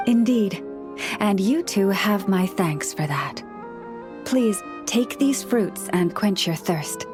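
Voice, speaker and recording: A woman's voice: medium pitch, lightly acted. Woman as a courteous, scholarly young priestess, music behind. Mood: gracious, courteous. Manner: formal, courteous, measured. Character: gentle, scholarly young woman, a nature-loving moon priestess. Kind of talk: video game voice acting. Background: background music.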